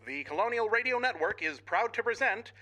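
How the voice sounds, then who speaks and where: A man's voice: sits high, lively intonation, a little fast, bright, forceful tone. A man playing a formal, stately radio announcer in an old-time broadcast audio drama. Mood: proud, formal. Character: formal, showy male 1940s radio announcer.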